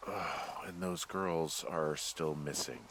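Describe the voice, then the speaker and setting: An actor playing a weary, anxious fire lookout in a video game, wry and blunt, speaking in a halting, exasperated, natural way. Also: male voice, a bass voice, very noisy, heavily acted. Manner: halting, exasperated, plain-spoken.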